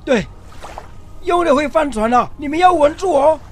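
A man's voice: extremely high pitch, expressive, moderate pace, soft background music. An actor playing a plain-spoken, fretful old fisherman in a biblical radio drama, with a theatrical, plaintive delivery. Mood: urgent.

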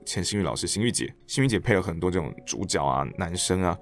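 A very low-voiced man with a Taiwanese Mandarin accent speaks as a guest in a casual interview. He explains things earnestly and reflectively, as if passing on advice. Faint music can be heard with his voice. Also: animated, quick pace. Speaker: guest, stage director and actor.

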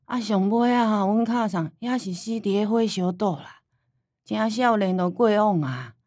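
A woman's voice: medium pitch, a little slow, a little mellow, no room sound, studio quality, lightly acted. A woman acting a stubborn old Taiwanese grandmother. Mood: wistful, reminiscing. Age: older. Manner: rambling, folksy storytelling with sighing interjections. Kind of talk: radio drama acting in Taiwanese Hokkien.

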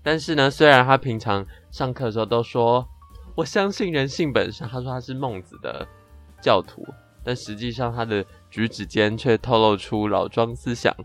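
A young man with a Taiwanese Mandarin accent co-hosts a podcast. His voice sits at an average male pitch as he spins comic anecdotes with playful exaggeration. Light music plays underneath. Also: moderate pace. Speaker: podcast co-host. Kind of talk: chatty comic storytelling in a two-host podcast.

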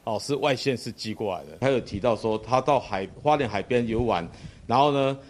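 Medium-pitched Taiwanese man recounting in interview. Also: a little fast. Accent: Taiwanese Mandarin. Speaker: city cleaning squad leader. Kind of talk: interview recollection.

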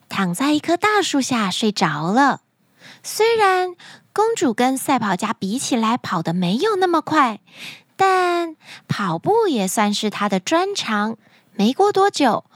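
A woman hosting a children's story show, speaking to young listeners in an animated, expressive storytelling manner. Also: high-pitched, dry recording, clean studio sound. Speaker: children's story podcast host.

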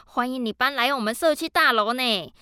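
An actress playing a nosy, chatty middle-aged neighbor who sits on the building committee, talking with a Taiwanese Mandarin accent and lots of drawn-out fillers. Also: female voice, sits high, bright, forceful tone, clean tone, dry recording, studio quality. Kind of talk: radio drama acting.

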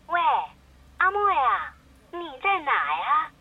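A woman's voice: high voice, huge pitch swings, takes it slowly, slight background noise, heavily acted. A woman playing a nagging, melodramatic middle-aged mother in a comedy radio drama, heard through a telephone filter. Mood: wheedling. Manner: wheedling, dramatic, chatty. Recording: tinny band-limited phone-call sound.

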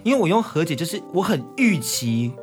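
A man's voice: on the high side, very animated, fairly quick, over music. A man hosting a casual radio talk show in a chatty, conversational style.

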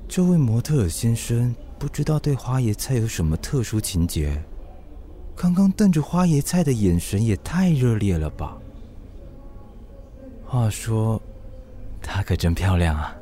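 A man's voice: medium pitch, big pitch swings, a little slow, long pauses, dark tone, slight background noise. An actor playing a good-natured, easily smitten widowed single father in a radio drama, musing to himself expressively. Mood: smitten, admiring. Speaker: voice actor, lead. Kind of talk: radio drama acting with inner monologue.

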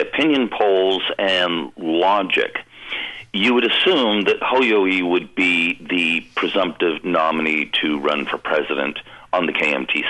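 A man's voice: low-pitched, bright, forceful tone, low-quality recording. A man commenting on politics on a news panel, emphatic and conversational, with frequent "you know" and "um".

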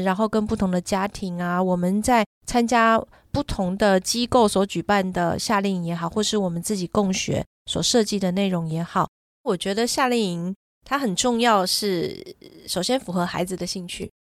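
A woman's voice: medium pitch, normal speed, dry recording, studio quality. A middle-aged woman in casual podcast conversation, explaining things at length with examples. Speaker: podcast guest and parent who runs children's summer camps.

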